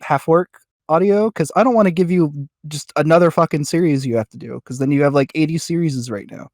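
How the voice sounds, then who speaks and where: A man's voice: fairly high pitch, darkish tone, dry recording. Hesitant man in dry, close sound. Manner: hesitant, halting. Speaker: podcast co-host. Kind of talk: casual podcast chat.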